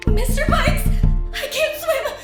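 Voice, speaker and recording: A woman's voice: extremely high pitch, slow pace. A woman voicing an innocent, blunt young girl in a game, with a childlike, plaintive delivery. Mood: panicked. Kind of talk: visual novel game character acting. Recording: roomy echo, music underneath.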